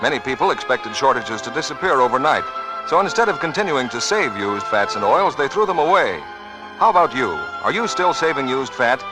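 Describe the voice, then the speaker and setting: An American man working as a radio announcer, reading scripted announcements and sales pitches in 1940s style. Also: lively intonation, brisk, over music, poor audio.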